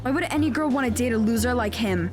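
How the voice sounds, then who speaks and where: A pushy, overprotective teenage schoolgirl acted by a woman in an audio drama. She speaks high and emphatically, haughty and quick to accuse, over clearly heard music. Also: lightly acted. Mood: exasperated.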